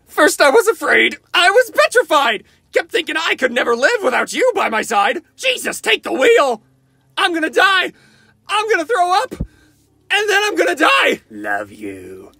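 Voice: very high-pitched, huge pitch swings, a little slow, gravelly, pushed and bright, no room sound, heavily acted. An amateur voice actor playing a cocky, goofy, sarcastic survivor in fan-made video game voice lines, flippant and panicky with theatrical delivery. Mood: panicked, whiny, then teasing, coy.

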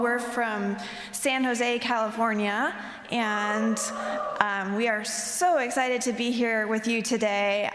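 A woman's voice: a little high, fairly bright tone. A young woman giving an earnest testimony talk on a chapel stage into a handheld mic, with many ums and you knows. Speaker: chapel speaker. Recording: echoey hall with long reverb.

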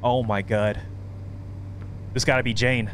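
A man's voice: medium pitch, steady tone, unhurried, background noise. A young man giving casual, uneasy commentary as he hosts a horror game playthrough. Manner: uneasy, reluctant reactions. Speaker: gaming video host. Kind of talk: casual let's play commentary.

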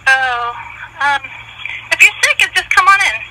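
A woman with a high voice talks casually over speakerphone as an employee taking a call. The line is noisy. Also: animated, a little slow. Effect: phone speaker. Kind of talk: casual phone conversation.

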